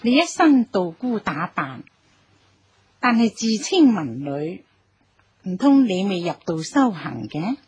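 A stately Cantonese empress dowager, slow-spoken. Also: female voice, medium pitch, sing-song, a little mellow, slightly rough, full character voice. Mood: stately, curious. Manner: formal, imperious, deliberate. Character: stately empress dowager, commanding yet compassionate. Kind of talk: Cantonese radio drama acting. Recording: music behind the voice.

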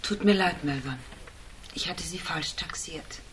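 An actress playing a distraught young woman in a German radio crime drama, husky and breathy. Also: low voice, measured pace. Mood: anguished, subdued.

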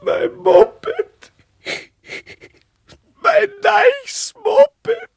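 A woman's voice: extremely high pitch, expressive, creaky, darkish tone, exaggerated voice. A woman playing a whiny, childish apprentice boy in a radio play, tearful and drawling, with a Viennese accent. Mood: sobbing, wailing. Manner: whining, drawn-out, tearful.

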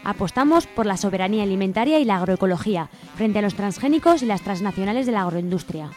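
A woman's voice: mid-range voice, lively intonation, fast, darkish tone. A woman with an accent from Spain reading scripted narration as co-host of a radio show. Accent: Spain Spanish. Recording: music bed behind the voice. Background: music.

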